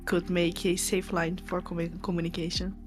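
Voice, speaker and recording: A woman's voice: fairly low pitch, level tone, normal speed, music in the background. A woman chatting with the author and teasing her. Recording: dull lo-fi sound.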